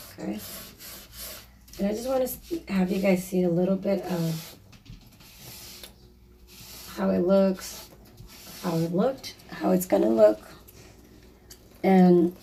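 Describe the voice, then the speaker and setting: A woman with a slightly hoarse, raspy voice, casually narrating a painting project in a chatty, apologetic way with lots of fillers. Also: mid-range voice, warm tone, distant mic, a little hiss or hum. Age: adult, a mother. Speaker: DIY vlogger.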